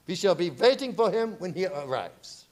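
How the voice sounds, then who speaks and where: Casting: imperious older vampire-hunting professor acted by a man, high voice, big melodic swings, old-time radio style. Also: very animated. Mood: grave, probing. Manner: deliberate, theatrical, imperious. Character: commanding older male professor, grave vampire hunter.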